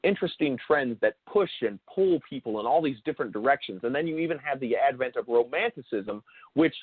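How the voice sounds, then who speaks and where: A man's voice: mid-range voice, lively intonation, husky, dry recording. A man's voice comes through a thin, phone-like connection with a dry sound. He speaks as an interview host explaining ideas off the cuff, repeating and rewording phrases as he goes and leaning on key words. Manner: halting, repeats and rephrases himself, emphatic on key words. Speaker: show host interviewing a guest. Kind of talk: unscripted explanatory discussion. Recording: thin phone-like call audio.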